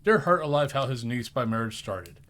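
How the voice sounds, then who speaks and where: Male narrator for storybook reading, expressive, medium pitch with lively swings. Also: moderate pace.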